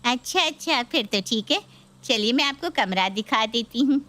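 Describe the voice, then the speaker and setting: A woman's voice: a little high, lively intonation, moderate pace, pushed and bright. A woman playing a middle-aged mother-in-law who is fawning, anxious and nagging, in a dramatised story. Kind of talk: dramatised Hindi story video.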